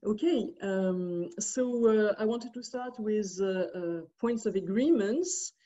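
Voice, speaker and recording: A woman's voice: medium pitch, takes it slowly, dark tone, dull audio. A woman from Switzerland giving an academic commentary over a video call, speaking hesitantly with many fillers and restarts. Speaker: discussant.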